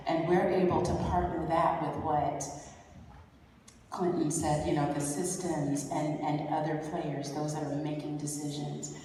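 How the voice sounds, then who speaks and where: Low mellow lady on a conference panel, affirming, chatty, echoey far-off hall sound. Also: a little hiss or hum. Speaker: panelist, youth advocate.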